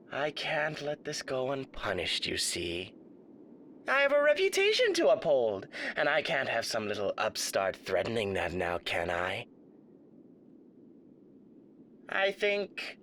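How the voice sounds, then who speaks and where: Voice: medium pitch, a bit bright, slight background noise, exaggerated voice. An actor playing a smug, sadistic male radio demon in an ASMR roleplay, theatrical, mock-polite and taunting. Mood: silky menace.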